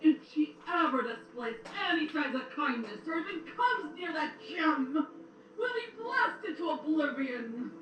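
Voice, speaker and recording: A woman playing a cruel, menacing, imperious queen in an audio drama, theatrical and commanding with drawn-out words. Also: high-pitched, sing-song, fairly bright tone, exaggerated voice. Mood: furious. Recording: roomy, distant playback with some noise.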